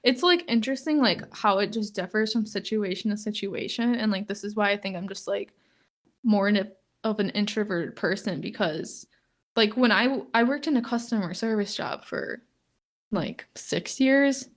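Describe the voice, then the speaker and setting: A young woman in her twenties co-hosting a podcast in casual chat, talking in a flat monotone and filling nearly every phrase with "like". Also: moderate pace. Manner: says "like" constantly, flat and low-key, laughs often. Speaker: podcast co-host.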